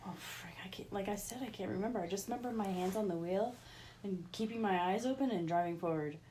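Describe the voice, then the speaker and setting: A woman's voice: mid-range voice, fast, mellow, slight background noise. A middle-aged woman with a Canadian accent, chatting casually as an interviewee in a family conversation. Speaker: interviewee, the host's wife.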